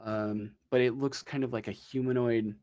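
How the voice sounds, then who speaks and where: A man running a tabletop game as game master, improvising the narration slowly and searching for his words. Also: medium pitch, steady tone, darkish tone.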